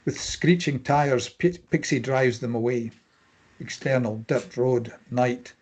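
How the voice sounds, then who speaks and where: A man's voice: mid-range voice, slow, a little mellow. A man narrating a screenplay, reading scene headings and stage directions at a table read.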